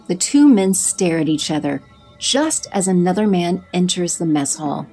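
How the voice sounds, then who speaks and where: A woman reads scripted audio drama narration in an even, descriptive manner. Her voice is low and dark in tone. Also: normal speed, husky, faint music behind.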